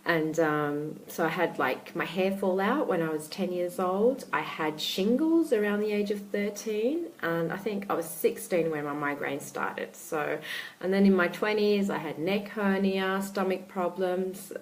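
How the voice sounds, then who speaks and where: Low-voiced Australian woman, halting. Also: slight background noise, poor audio. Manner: hesitant, many ums and restarts. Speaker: life coach. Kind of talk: live-streamed casual talk to followers.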